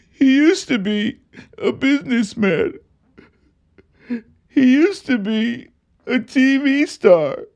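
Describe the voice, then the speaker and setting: A man hosts a livestream storytime in a very animated voice that swings widely in pitch. He takes his time, stretching out phrases in a mocking storybook manner, then slips into casual chat with his viewers. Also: creaky. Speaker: host of a live online storytime show.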